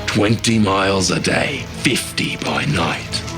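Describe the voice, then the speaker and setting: A man narrating a dramatic war script with a grave, deliberate delivery. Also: low-pitched. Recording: loud music behind the voice. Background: loud music.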